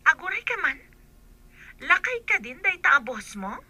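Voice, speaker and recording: A woman's voice: high voice, big pitch swings, normal speed, bright, forceful tone, heavily acted. A woman playing a concerned, inquisitive friend in a radio drama. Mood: concerned, curious.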